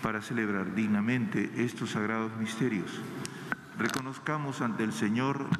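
A man celebrating mass, reciting the liturgy in a slow, intoning manner. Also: on the low side, fairly even intonation, noisy background. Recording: echoey church with organ.

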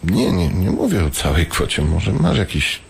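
A man's voice: sits low, wildly swooping pitch, normal speed, creaky, slightly rough, exaggerated voice. A man acting as a sheepish, evasive gambler in debt in a radio drama, answering hesitantly and apologetically.

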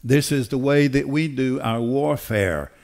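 An older man preaching a sermon in an emphatic, deliberate way, repeating phrases for weight. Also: medium pitch, vocal fry, darkish tone. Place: church auditorium stage. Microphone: headset.

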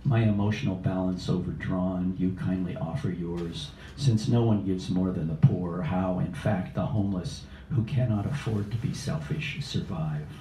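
A man in his sixties reading poetry live at an open mic in a measured, deliberate way, with casual asides. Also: low voice, fairly even intonation, warm tone, faint music behind. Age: 60s. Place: small indoor cafe open mic. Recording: roomy, distant cafe live stream with noise.

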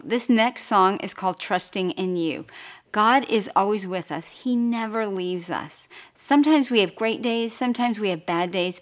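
A woman hosting kids' church, introducing a song to children in a gentle, encouraging way on an indoor set. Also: medium pitch. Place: indoor filmed set with window.

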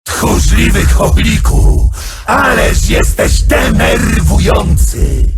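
An actor voicing a bloodthirsty ancient male demon warrior, shouting taunts at his foes in a video game. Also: high voice, lively intonation, very slow, faint music behind, heavily acted. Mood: taunting. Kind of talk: video game character voice lines.

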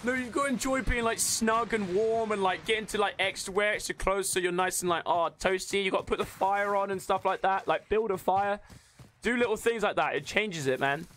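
A British man with a high-pitched voice streams a game and chats casually to his viewers. His banter is crude and full of swearing and jokes. The recording has clear noise behind his voice. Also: brisk, fairly bright tone. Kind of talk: casual stream chat while gaming.

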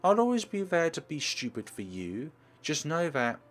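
A man voicing an earnest, excitable high school girl in a visual novel. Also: moderate pace. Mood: eager.

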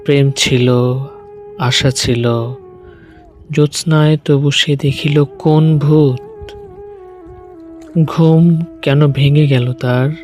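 A man reciting poetry in a solemn, dramatic style, deliberately, with weighted pauses between short phrases. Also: on the high side, fairly even intonation, darkish tone. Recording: music bed under the voice. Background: music.

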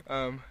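A man joking and chatting casually with friends during an amateur reading, swearing freely. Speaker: cast member in an amateur reading.

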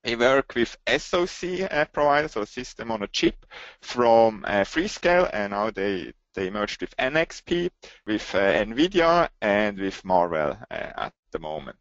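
A man's voice: on the low side, big pitch swings, no room sound, highs cut off. Swiss-accented man presenting a tech webinar. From Switzerland. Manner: halting, with frequent "uh" fillers.

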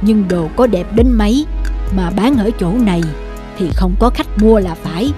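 A kindly old traveller in a cartoon acted by a woman. He sounds wise and thoughtful, advising gently, with music clearly audible behind. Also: medium pitch, normal speed, natural voice. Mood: thoughtful, appreciative. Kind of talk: cartoon dubbing.

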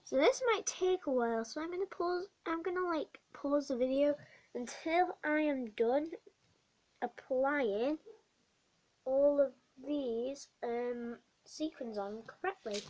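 A young girl with a bad cold and a croaky voice, chatting through a craft tutorial vlog in a small bedroom, rambling with many ums. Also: sits high, takes it slowly, a little mellow, poor audio. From not American. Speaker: craft vlogger. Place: small dim bedroom indoors.

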